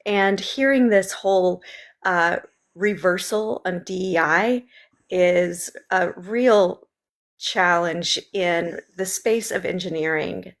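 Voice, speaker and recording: A woman with a Southern accent, originally from Memphis, earnestly answering interview questions as a political candidate, with many ums in long, run-on sentences. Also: fairly low pitch. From Memphis, Tennessee. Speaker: state legislative candidate.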